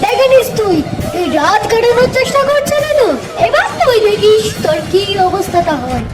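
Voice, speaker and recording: Doll soap villainess: possessive mother-in-law, very high voice, scolding. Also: sing-song, moderate pace, rough recording. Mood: menacing. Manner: melodramatic, scolding. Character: jealous, domineering middle-aged mother-in-law villain. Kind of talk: amateur doll-drama acting. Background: loud music.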